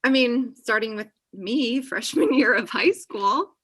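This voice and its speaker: Bright-voiced, overenthusiastic young woman, acted by a woman. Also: fairly high pitch, unhurried, husky. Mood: gushing, teasing. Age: young adult. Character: chatty, overenthusiastic thirty-year-old woman, possessive best friend. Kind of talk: comedy table read. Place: indoor room on a video call. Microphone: video call.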